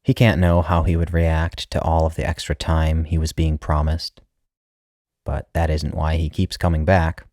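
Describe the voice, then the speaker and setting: A man's voice: very deep voice, flat delivery, moderate pace, darkish tone, dry recording, studio quality. A man reading a literary short story as its narrator, in a scripted style.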